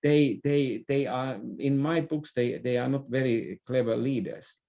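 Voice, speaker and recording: A man's voice: medium pitch, fairly even intonation, measured pace, gravelly, warm tone. Finnish-accented man in conversation. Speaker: interview guest, author and business thinker. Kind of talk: conversational podcast interview.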